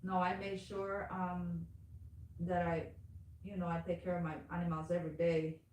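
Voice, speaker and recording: A woman's voice: on the low side, moderate pace, recorded from a distance, slight background noise. A middle-aged woman with a strong Caribbean English accent chatting with a client in her nail salon, telling stories with lots of acted-out voices. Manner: animated storyteller, acts out quoted voices. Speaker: nail shop owner. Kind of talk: casual chat while working. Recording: echoey room, mic set back.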